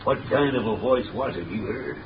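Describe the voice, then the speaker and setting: A man's voice: medium pitch, moderate pace, natural voice. An actor playing a brusque, impatient male police commissioner in a 1930s radio drama. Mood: stern. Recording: muffled, noisy, echoey 1930s radio recording from a distance.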